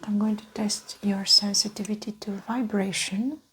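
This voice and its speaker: A woman whispering softly in an ASMR roleplay as a doctor, giving gentle, methodical clinical instructions and observations. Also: mid-range voice, steady tone, normal speed, a little hiss or hum. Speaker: ASMR performer playing a doctor. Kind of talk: soft-spoken whispered ASMR medical roleplay.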